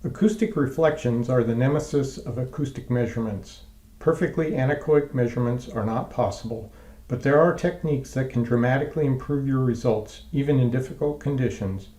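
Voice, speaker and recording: A man presenting scripted technical training in a measured, explanatory way with careful enunciation. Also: mid-range voice, mellow, reverberant. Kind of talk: scripted instructional narration.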